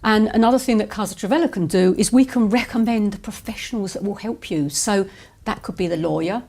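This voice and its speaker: A woman who runs a property agency, answering interview questions in a measured, businesslike way with some hesitations. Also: medium pitch, darkish tone.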